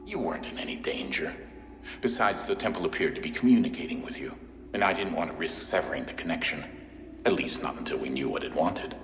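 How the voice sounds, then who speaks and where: A man's voice: extremely low pitch, animated, fairly quick, noisy background. An actor playing a stern, pragmatic middle-aged father in a video game, speaking matter-of-factly. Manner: matter-of-fact, self-justifying. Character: stern, pragmatic older father leading a secret team. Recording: reverberant hall with score behind.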